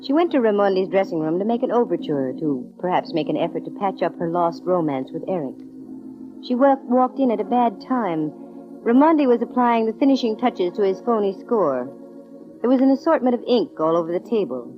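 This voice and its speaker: An actress playing a brisk, wry woman private detective in a 1940s radio drama, with slangy, hard-boiled delivery. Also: mid-range voice, very animated, close to an everyday voice. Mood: wry, reflective. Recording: dull vintage radio recording, music behind.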